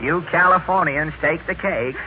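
A man's voice: a little high, moderate pace. A man acting as interviewer and straight man in a 1940s radio comedy. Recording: noisy narrow-band old radio recording.

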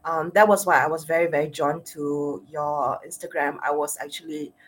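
A woman's voice: deep voice, steady tone, normal speed, a little hiss or hum. A woman with a Singaporean accent hosting an interview as a sexologist, speaking with frequent uhs and repeated words. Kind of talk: interview host.

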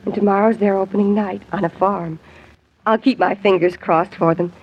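An actress playing a sweet, excitable young stage actress in a 1940s radio drama. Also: female voice, mid-range voice, normal speed, slightly rough. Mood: wistful, warm. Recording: dull 1940s radio recording.

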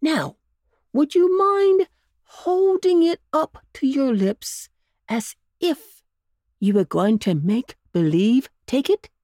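Woman putting on a storybook old gentleman rabbit, jolly, coaxing, high lilting voice, slow. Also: dry recording, clean studio sound. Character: kindly old gentleman rabbit, cheerful and clever.

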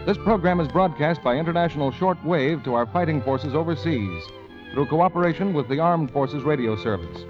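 American 1940s radio announcer over music. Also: male voice, fairly high pitch, lively intonation, normal speed. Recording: dull vintage radio broadcast, music behind.

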